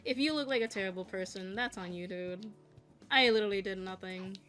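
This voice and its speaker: Bright-voiced young woman, blunt and profane. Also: medium pitch, a little fast, soft background music. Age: 20s. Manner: frank and profane, venting with nervous laughs. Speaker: content creator. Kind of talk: casual candid talk while gaming.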